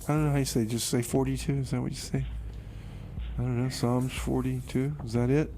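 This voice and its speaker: A man's voice: medium pitch, little pitch movement, a little fast, warm tone, slight background noise. A man hosting a radio music show in a casual, chatty style with comic banter.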